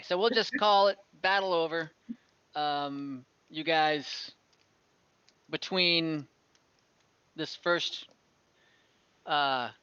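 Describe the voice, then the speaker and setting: A game master narrating an online tabletop game casually, in a halting, improvised way. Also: medium pitch, a bit bright, clean tone, dry recording. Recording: dull, dry online call audio.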